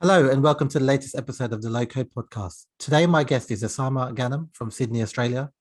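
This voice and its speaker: A man hosting an interview podcast, speaking over a remote call in a steady conversational way. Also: mid-range voice, fast. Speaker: podcast host.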